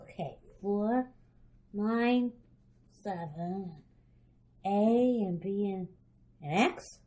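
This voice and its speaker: A woman playing a headstrong, impatient little girl in a radio drama, loud, definite and childishly eager. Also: medium pitch, drawn-out words. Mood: bossy, impatient. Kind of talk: old-time radio drama acting.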